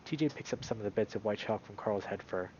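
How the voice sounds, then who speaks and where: A man streaming a visual novel, moving between read-aloud narration and casual, animated commentary. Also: on the low side, fast talker, highs cut off, a little hiss or hum.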